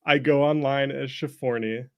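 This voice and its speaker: A man's voice: medium pitch, fairly even intonation, normal speed, lo-fi sound. A man chatting casually as an interview guest, an indie game developer and streamer with a jokey, self-deprecating manner who often laughs. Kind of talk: casual chat.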